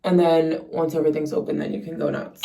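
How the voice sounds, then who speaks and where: A young woman with a slightly raspy voice chats casually and informally to camera as a vlogger, peppering her talk with "like". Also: low voice, flat delivery, fast, warm tone, far from the mic. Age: young adult. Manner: chatty, informal, frequent "like". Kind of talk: casual chat to camera while getting ready. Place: indoors at home.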